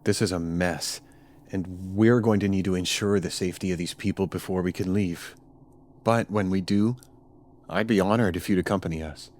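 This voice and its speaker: A man's voice: sits low, fairly even intonation, normal speed, darkish tone, natural voice. A man playing a courteous, reassuring starship captain in a radio drama. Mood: steady, friendly.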